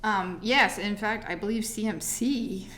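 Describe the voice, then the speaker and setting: A woman's voice: mid-range voice, measured pace. A woman on a town committee speaking loosely with many hesitations at a public meeting. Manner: relaxed, talkative, hesitant with frequent "um". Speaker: committee member for the town museum.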